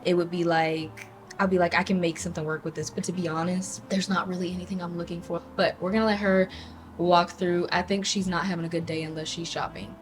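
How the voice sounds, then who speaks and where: A young woman vlogging in a chatty, casual way, her voice raspy from a sore throat, laughing often and trailing off with fillers. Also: lowish voice, flat delivery, fairly quick, slight background noise. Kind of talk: casual vlog chat.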